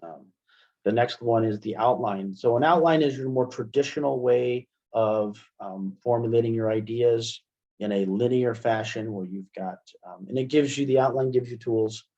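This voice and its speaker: A middle-aged American man presenting an online software lesson step by step, in an informal, halting way, often checking in with "right?". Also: mid-range voice. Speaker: webinar presenter and instructor. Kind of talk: software tutorial, explaining step by step. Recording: muffled, dull computer-call audio.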